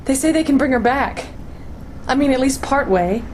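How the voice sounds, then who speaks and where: Woman playing a chatty companion, higher-pitched voice. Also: expressive, moderate pace, a little mellow. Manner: conversational, informal. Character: chatty female companion sharing family news. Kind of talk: radio play dialogue. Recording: faint background ambience noise.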